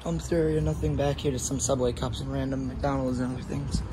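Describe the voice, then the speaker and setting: A teenage boy talks in a hurried, apologetic, chatty way as he walks around a building with his phone at arm's length. His voice sits at an ordinary middle pitch. Loud traffic roars outdoors behind him. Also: steady tone. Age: teens. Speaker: vlogger. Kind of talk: casual walk-around vlog narration. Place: outdoors around an empty fast-food building by a busy road. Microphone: phone camera held at arm's length.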